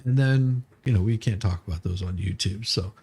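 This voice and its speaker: Creaky-voiced man chatting on a livestream. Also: lowish voice, moderate pace, a little mellow. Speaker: live streamer. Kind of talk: casual livestream chat.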